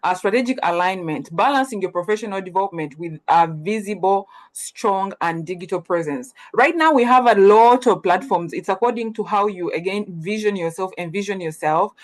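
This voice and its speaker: A young woman from Uganda, giving an earnest webinar presentation full of ums and self-corrections. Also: medium pitch.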